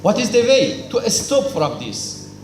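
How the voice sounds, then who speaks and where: A man's voice: sits high, big pitch swings, takes it slowly. A man giving a formal speech at a cultural celebration, lecturing emphatically in simple non-native English, asking questions and then answering them himself. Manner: lecturing, asks and answers his own questions, emphatic repetition. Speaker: speaker at a cultural event. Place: hall at a celebration. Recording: echoey hall with faint noise.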